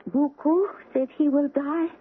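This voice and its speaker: A woman's voice: sits high, steady tone, a little slow. An actress playing a proud, devoted foreign island woman in an old-time radio drama, speaking in simple, formal phrases. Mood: worried. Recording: tinny old radio broadcast, faint noise.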